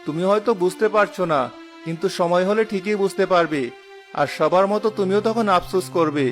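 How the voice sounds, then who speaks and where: A man's voice: high-pitched, fairly quick, soft background music, natural voice. A man voicing a stubborn, simple-hearted village husband in a cartoon, earnest and insistent. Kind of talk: cartoon voice acting.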